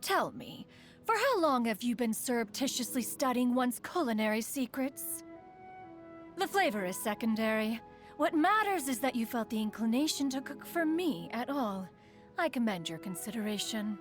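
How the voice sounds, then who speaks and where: A woman's voice: a little high, sing-song, normal speed, faint music behind. A woman voicing a proud, refined immortal sage and inventor in a video game, with formal, archaic, poised diction. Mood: pleased. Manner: formal, stately, archaic diction, refers to herself as "one". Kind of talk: scripted game character voice lines.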